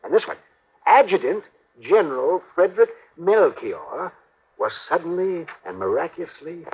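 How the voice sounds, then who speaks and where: A man's voice: a little high, very animated, normal speed, breathy edge, exaggerated voice. An actor playing an anxious, superstitious, wealthy older man in a 1950s radio drama, pleading and repeating himself in a flustered, hesitant way. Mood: agitated pleading. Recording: muffled vintage 1950s radio recording.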